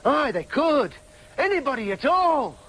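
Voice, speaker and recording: An actor with a Yorkshire accent playing an agitated, obsessive adopted son in a radio drama, insistent and declamatory. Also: male voice, very high voice, big pitch swings, unhurried, a bit bright, heavily acted. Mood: exalted, brooding. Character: agitated, obsessive adopted son hungry for his true identity. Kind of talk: broadcast radio drama acting.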